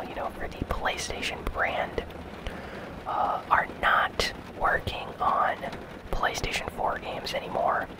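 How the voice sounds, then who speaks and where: A host whispering video game news softly and without hurry in an ASMR style, with rain sounds behind the voice. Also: low-quality recording. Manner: soft, unhurried whispering.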